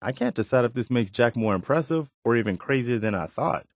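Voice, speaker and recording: An actor playing an earnest, wary militia man in a post-apocalyptic video game, speaking in a measured, conversational way. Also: mid-range voice, lively intonation, dry recording, studio quality, lightly acted. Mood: watchful, conversational. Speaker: video game companion character. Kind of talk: game voice acting, short spoken reactions.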